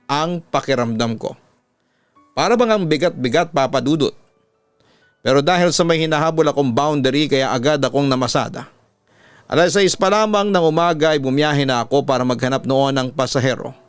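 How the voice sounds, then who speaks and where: A Filipino man working as a radio-style narrator, reading a first-person horror story in a measured, deliberate way over music. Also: mid-range voice, clean tone, no echo. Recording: music playing under the voice. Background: background music.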